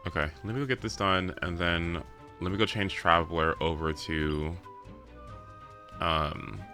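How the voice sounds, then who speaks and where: A man's voice: low-pitched, steady tone, unhurried, pushed and bright. A man chatting casually on a gaming stream, rambling as he thinks aloud, with some swearing. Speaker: livestreamer. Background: game music.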